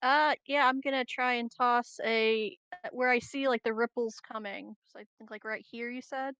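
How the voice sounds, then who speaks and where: A woman's voice: a little high, fairly even intonation, normal speed, fairly bright tone, clear, resonant tone, no room sound, low-quality recording. A woman chatting casually as a player in a tabletop roleplaying game.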